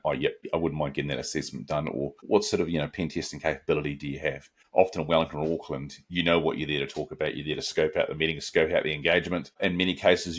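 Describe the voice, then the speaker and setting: Deep-voiced New Zealand man, relaxed and reflective. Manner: relaxed, reflective, with frequent restarts and asides. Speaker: guest. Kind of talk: podcast interview answers.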